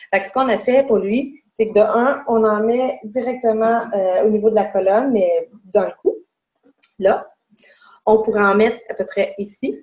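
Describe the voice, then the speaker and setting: A middle-aged woman with a Québécois accent talks through a webinar lesson over her webcam at home. Her delivery is chatty and hands-on, stopping to show spots on herself as she goes. Her pitch sits in an ordinary middle range. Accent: Québécois French. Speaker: webinar presenter. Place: home room indoors. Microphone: webcam mic on a video call.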